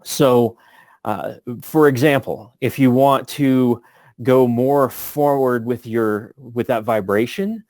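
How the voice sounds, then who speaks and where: A man's voice: mid-range voice, takes it slowly, a little mellow, no echo. A man giving a casual instructional talk as a tutor, thinking aloud as he explains. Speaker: voice tutor for tabletop gamers.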